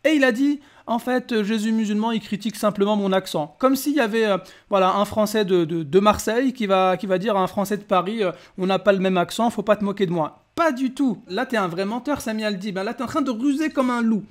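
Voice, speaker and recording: A man's voice: sits high, brisk, few pauses. A man hosting a polemical commentary, talking in a mocking, combative and emphatic way. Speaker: YouTube host.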